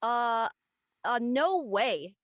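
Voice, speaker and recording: A woman playing an earnest, defensive high school girl in a casual script reading. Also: lively intonation, drawn-out words, a bit bright, full character voice.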